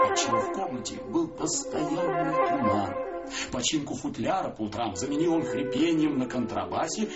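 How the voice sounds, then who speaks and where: A man's voice: medium pitch, highs cut off. A man narrating a scripted story as a dramatised radio performance.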